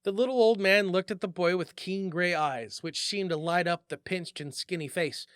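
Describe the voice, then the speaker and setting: A man giving an expressive, theatrical storybook narration as a story reader. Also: high voice, normal speed, smooth, no room sound, studio quality.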